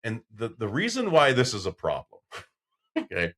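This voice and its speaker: A man with a deep, carrying voice hosting a casual podcast chat, telling stories and getting loud when excited. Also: moderate pace. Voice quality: deep voice that carries. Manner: loud when excited, storytelling with acted-out quotes. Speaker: podcast host.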